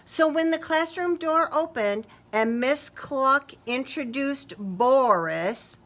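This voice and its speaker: Woman, children's story reader, slow, bright voice, expressive, chats to young listeners. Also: high voice. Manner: talks to young listeners, asking questions. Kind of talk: expressive picture-book read-aloud.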